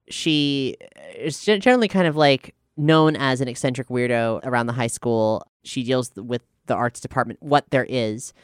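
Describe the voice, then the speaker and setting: Man, game player, wry, conversational, crisp clear voice, medium pitch, dry recording. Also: dry recording. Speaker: player and performer on an actual-play show. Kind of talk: casual conversational banter and description.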